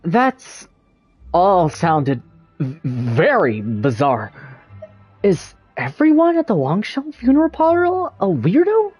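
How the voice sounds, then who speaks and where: A man playing a flustered, hesitant young adventurer in a game, stammering and halting, with a husky voice. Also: high-pitched, huge pitch swings, a little mellow, exaggerated voice. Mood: bemused. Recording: dull, lo-fi microphone with faint music.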